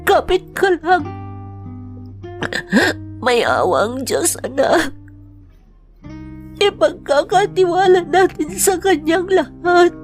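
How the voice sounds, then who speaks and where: A woman playing a grieving mother working abroad, speaking with slow, heartfelt dramatic delivery. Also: extremely high pitch, very animated, heavily acted. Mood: tender. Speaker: overseas domestic worker. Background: faint guitar music.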